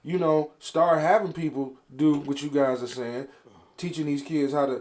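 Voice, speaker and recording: Man, podcast panelist, higher-than-average voice, halting, insistent, repetitive, casual. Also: fairly even intonation, darkish tone. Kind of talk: casual debate.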